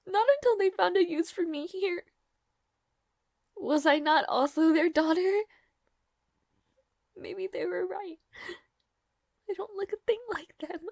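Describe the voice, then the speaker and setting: Girl voice actor doing a timid stammering maid for an audio roleplay, devoted n anguished, bit of creak in the voice, super dry close sound. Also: very high-pitched, darkish tone, no room sound, heavily acted. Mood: tearful, bitter. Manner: halting, stammering, breathy pleading. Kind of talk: dramatic audio roleplay acting.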